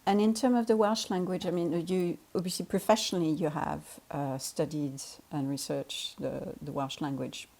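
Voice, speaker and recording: Low-voiced woman interviewer, measured questions. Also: steady tone, gravelly, darkish tone. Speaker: interviewer, novelist.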